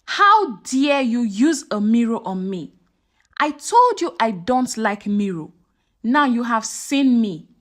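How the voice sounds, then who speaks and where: A woman voicing a sinister, angry old witch in a folktale, with a menacing, deliberate delivery. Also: fairly high pitch, very animated, a bit bright, heavily acted.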